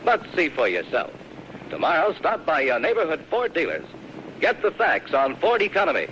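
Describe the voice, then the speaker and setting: A man's voice: on the high side, wildly swooping pitch, normal speed, a bit bright. Vintage radio ad announcer: American male, very varied delivery, slightly noisy old tape. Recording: old radio ad on cassette, faint noise.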